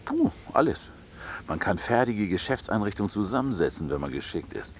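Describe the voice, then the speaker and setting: Cocky hustler, wildly animated deep voice. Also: male voice, fairly quick, a little hiss or hum. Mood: breezy. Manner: boastful, nonchalant, persuasive. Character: cocky, breezy male small-time online hustler. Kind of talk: German radio drama dialogue.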